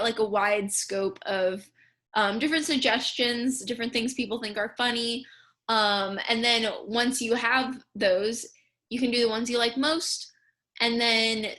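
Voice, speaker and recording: Young woman, American accent, fairly high pitch, very bright tone, casual tutorial delivery, informal asides. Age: young adult. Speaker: student speech team officer giving a tutorial. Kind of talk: casual instructional slideshow narration. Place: indoors in a room at home, on a webcam.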